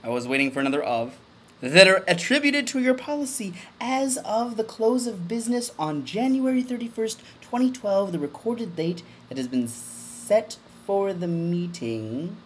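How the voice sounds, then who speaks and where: Theatrical high-pitched man, wildly swinging delivery. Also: moderate pace, a little hiss or hum. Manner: theatrical, overblown emphasis swinging to quiet deadpan asides. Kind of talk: mock-dramatic comedic reading of a legal document with muttered asides.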